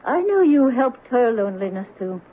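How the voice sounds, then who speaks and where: A gentle, slightly nervous mother, her voice sitting a little high. It has the thin, phone-like sound of an old radio broadcast with a faint hiss underneath. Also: very animated, normal speed. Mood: gentle, anxious. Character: gentle widowed mother, kind and anxious. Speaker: Celia, a mother. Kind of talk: 1970s radio drama acting.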